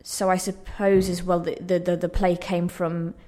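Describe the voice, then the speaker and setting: A woman's voice: fairly low pitch, little pitch movement, normal speed, warm tone. A young woman giving reflective interview answers in a hesitant, self-deprecating, thoughtful way. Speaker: playwright being interviewed.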